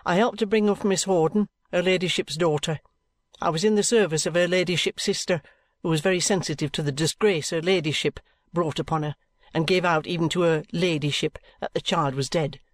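A man's voice: a little high, fairly even intonation, brisk, no echo, close to an everyday voice. A man voicing a hard, bitter former servant woman who talks bluntly and with scorn. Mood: scornful.

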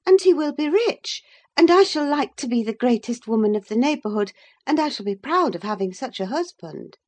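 A woman's voice: high voice, animated, moderate pace, darkish tone, voice pushed up. A woman voicing a headstrong, anxious young upper-class woman in a dramatised reading of a classic novel, impulsive and insistent. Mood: petulant, defiant.

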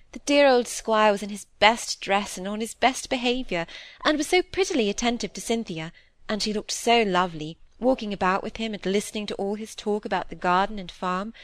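A woman's voice: medium pitch, no room sound, lightly acted. A woman voicing a sweet, earnest, loyal young daughter in an audiobook. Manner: earnest, pleading.

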